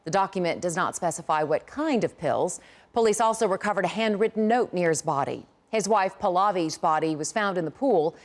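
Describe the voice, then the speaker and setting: A woman's voice: fairly low pitch, animated, a little fast. An American woman anchoring a television newscast, reading scripted news stories.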